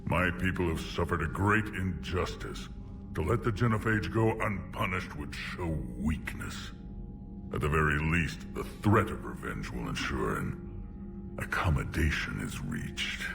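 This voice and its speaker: Gravelly, very deep alien warlord. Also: male voice, lively intonation, music in the background, exaggerated voice. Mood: vengeful, commanding. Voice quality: gravelly, rumbling. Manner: blunt, domineering, deliberate. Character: huge gruff alien warlord clan leader, proud and menacing. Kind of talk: video game cutscene acting.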